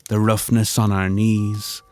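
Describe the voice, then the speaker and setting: A man narrates atmospheric scripted fiction. His voice is a little low and hushed. He moves slowly and poetically, measuring each phrase. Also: little pitch movement, a little hiss or hum.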